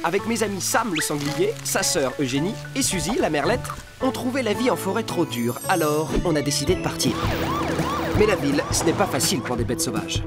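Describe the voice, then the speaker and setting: Scheming young fox, cartoon narrator, chatty and confiding, swooping intonation, loud music underneath. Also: female voice, sits low, very animated, fairly quick. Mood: upbeat, confiding. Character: eager, scheming young male fox narrating his adventures.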